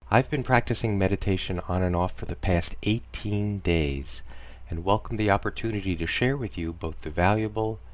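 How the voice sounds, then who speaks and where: A man narrating a scripted parody guided meditation in a deadpan, soothing instructional manner. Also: deep voice, normal speed.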